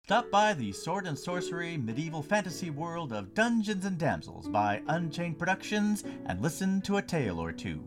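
A man's voice: on the high side, sing-song, normal speed, few pauses. A man narrating a scripted promotional trailer as its announcer. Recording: music bed behind voice.

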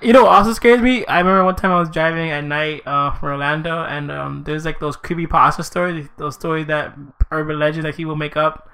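Bright excitable young-sounding man. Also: a little high, a little fast, few pauses, clean tone. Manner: excitable, says bro and dude. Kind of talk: casual chat.

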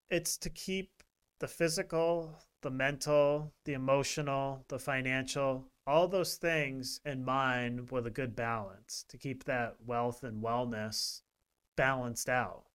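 Brief: male podcast co-host, rambling casual talk, smooth clear voice. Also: medium pitch. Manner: relaxed, rambling with many fillers. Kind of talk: casual chat.

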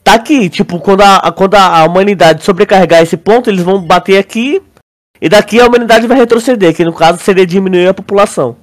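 High-voiced Brazilian man chatting casually. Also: fast. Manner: slangy, rambling. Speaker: podcast co-host. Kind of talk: casual chat, theorizing about a series.

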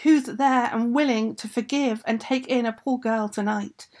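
A woman voicing a desperate young woman who pleads slowly in a raised voice. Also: high voice, lively intonation, darkish tone, pitched above the performer's own voice. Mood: pleading.

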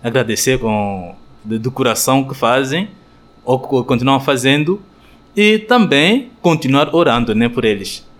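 A man with an Angolan Portuguese accent, a friar giving a radio interview answer, thoughtful and hesitant with many pauses and fillers. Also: mid-range voice, lively intonation, a bit bright. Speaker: friar, guest.

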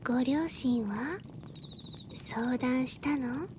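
A woman acts out a motherly, mature character in a radio play. She is soothing and sympathetic, speaking slowly in a high voice with faint forest ambience behind. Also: noisy background. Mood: concerned. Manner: soothing, advising, feminine phrasing. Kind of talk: audio drama acting.

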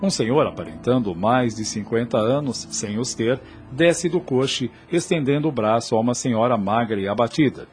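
Narrator for radio serial: man, mid-range voice, measured literary delivery over light music. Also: mid-range voice, lively intonation, darkish tone. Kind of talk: scripted dramatic narration.

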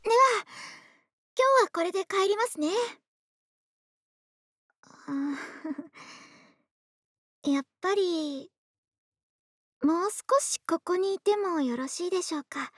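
Actress as high-voiced, gentle big-sister neighbor. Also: female voice, takes it slowly, pauses often, dry recording, studio quality. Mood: tender, teasing. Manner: polite, soft-spoken formal speech addressed to the listener. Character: gentle, caring, polite big-sister neighbor woman who pampers the listener. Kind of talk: Japanese ASMR situation voice drama, intimate one-to-one roleplay. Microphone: close ASMR microphone, dry studio.

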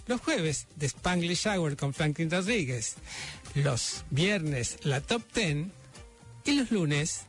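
A man's voice: fairly high pitch, lively intonation, takes it slowly, soft background music. A man hosting a radio program, announcing the schedule and introducing a guest, with frequent hesitant fillers. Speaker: radio host.

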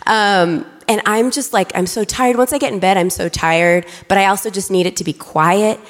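A young woman preaching from a church stage, lively and easily excited, turning often to address the congregation directly. Her voice sits at a middle pitch for a woman. It rings out with the long reverb of a big church hall. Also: moderate pace. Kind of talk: sermon. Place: large church auditorium.

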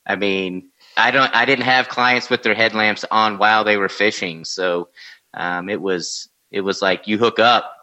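A man's voice: fairly low pitch, steady tone, a little slow, bright, forceful tone, rough recording. A man, co-hosting a casual podcast and telling fishing stories in a laid-back drawl, laughing and swearing as he goes. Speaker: podcast co-host, former fishing guide. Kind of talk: casual chat and storytelling.

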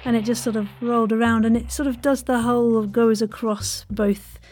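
A woman's voice: a little high, flat delivery, normal speed, a little mellow. A British woman hosting a podcast interview in a casual, chatty way, effusive and playfully exuberant, with slangy turns of phrase.

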